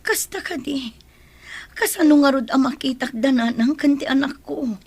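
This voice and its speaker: A woman acts an anxious mother in a radio-style drama. Her voice sits fairly high, and she speaks with an Ilocano Filipino accent and a worried manner. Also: normal speed, a little mellow. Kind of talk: audio drama acting.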